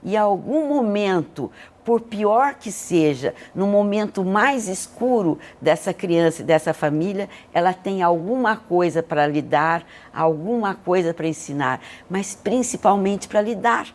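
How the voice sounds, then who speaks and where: A woman's voice: mid-range voice, lively intonation, measured pace, a little mellow. An older Brazilian woman telling personal stories as an interview guest, speaking in an earnest, emotive way. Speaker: interview guest, hospital leader. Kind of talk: reflective personal storytelling in an interview.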